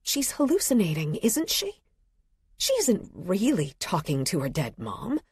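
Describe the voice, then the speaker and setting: Slow, husky woman narrating fiction. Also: lowish voice, no room sound, studio quality. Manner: measured, expressive dramatic reading. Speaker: audiobook narrator. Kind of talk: scripted literary fiction narration, present tense. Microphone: close studio microphone.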